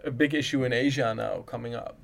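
A man's voice: mid-range voice, a little fast, creaky. A man from the Netherlands, a native Dutch speaker, talking casually in English and rambling with frequent restarts. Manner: rambling, many restarts and "in a sense". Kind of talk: casual conversation.

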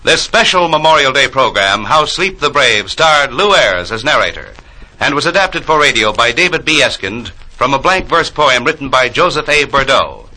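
Bright, forceful American radio announcer man. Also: mid-range voice, big pitch swings, low-quality recording. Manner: formal, measured. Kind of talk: 1940s radio announcing, scripted credits. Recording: 1940s radio broadcast recording.

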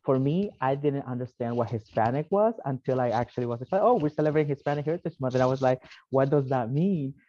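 A man's voice: mid-range voice, expressive, quick pace, dark tone. A man from El Salvador, a podcast guest, explaining his views casually with many fillers like "you know". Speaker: podcast guest, alumni network vice president. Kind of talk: casual interview answers. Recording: dull, dry lo-fi sound.

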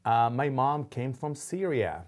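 A man's voice: medium pitch, very animated. A middle-aged man from Lebanon, an imam speaking as a guest in an interview, with frequent ums and restarts. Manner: halting, many ums and restarts. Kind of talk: interview conversation.

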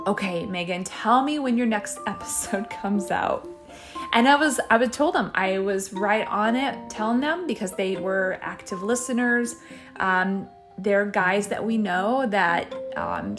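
Middle-aged woman, podcast host, loose rambling chat with fillers, boom mic, soft music bed. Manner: chatty and self-deprecating, with many fillers and laughs. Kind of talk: casual solo podcast chat. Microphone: boom microphone.

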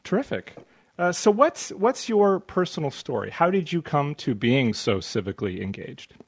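An American man with a slightly husky voice, speaking as the host of an interview show. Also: a little high, very animated, normal speed, dry recording. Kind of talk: scripted show introduction and interviewing.

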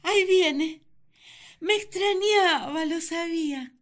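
A woman's voice: very high voice, slow. An actress playing an earnest, lovesick first woman who confides her feelings in a sentimental radio drama monologue. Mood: hopeful.

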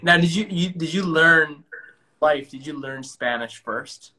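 A man's voice: fairly high pitch, measured pace, bright, forceful tone. A man whose first language is Punjabi hosting a live-stream interview, enthusiastic and often repeating words as he starts sentences.

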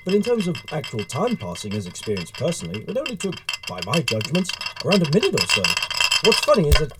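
A man playing an earnest, wordy gentleman time traveler in an audio drama, with formal period speech and a rambling manner. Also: fairly high pitch, wildly swooping pitch, fast, very noisy. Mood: eager, chatty. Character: eager, flustered gentleman author and time traveler.

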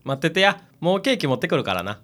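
A woman's voice: low voice, sing-song, fast, a bit bright, slight background noise. A woman acting as a friendly, casual young man minding a cafe in a radio drama, with a folksy Kansai accent. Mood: cheerful. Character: breezy, friendly male freeter acting as cafe manager.

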